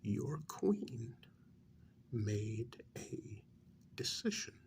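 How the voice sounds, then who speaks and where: A performer acts out a patient, probing official in an ASMR role-play. The voice is a soft half-whisper, heavy with gravelly fry. Every phrase comes very slowly and deliberately, with gentle reproach. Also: mid-range voice, sing-song, exaggerated voice. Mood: measured, sympathetic. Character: patient, probing official questioning a renegade vampire.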